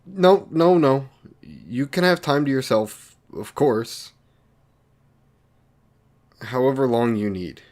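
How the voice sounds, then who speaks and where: A man playing a lonely, awkward villain in an audio romance roleplay, earnest and anxious to please, speaking haltingly and apologetically. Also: medium pitch, a little mellow, lightly acted. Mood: flustered, apologetic.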